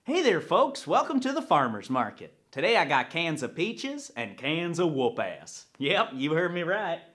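Casting: man as genial market farmer, folksy drawl, animated comedy pitch-man. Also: a little slow, full character voice. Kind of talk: character comedy monologue.

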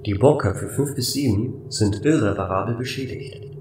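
A man voicing a calm, matter-of-fact station computer in a flat, formal way for a sci-fi audio drama. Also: mid-range voice, moderate pace, echoey room, recorded from a distance, a little hiss or hum, natural voice. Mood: factual.

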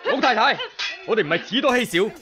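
Defiant martial fighter, loud projected voice, lively pitch, faint music. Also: male voice, fast. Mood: firm, challenging. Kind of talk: Cantonese radio drama acting.

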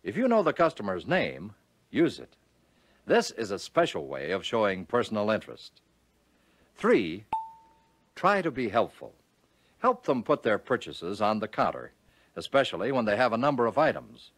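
An American man reading scripted narration for a 1940s instructional film, giving key phrases measured, instructive emphasis. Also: fairly low pitch, huge pitch swings, a bit bright, husky, no room sound.

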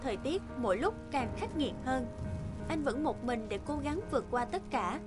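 A woman's voice: high voice, lively intonation, normal speed, over music. A woman narrator telling a scripted fairy tale.

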